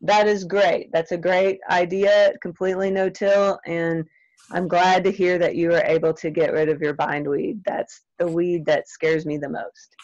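A middle-aged woman, answering audience questions in a friendly, chatty, self-deprecating way. She is losing her voice and clears her throat now and then. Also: lowish voice, little pitch movement, moderate pace, darkish tone, dry recording. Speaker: extension weed scientist answering audience questions. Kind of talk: casual Q&A at end of webinar. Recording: dry, slightly dull webinar audio.

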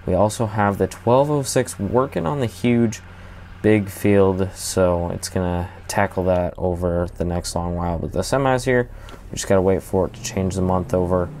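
A man with a notably low voice talks casually over a farming game he's playing, walking through each task as he goes. He rambles a bit and often pauses on ums and uhs. A faint hiss sits under the voice. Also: a little mellow. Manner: easygoing, rambling, narrates his actions with many fillers. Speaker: gaming channel host. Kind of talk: casual gameplay commentary.

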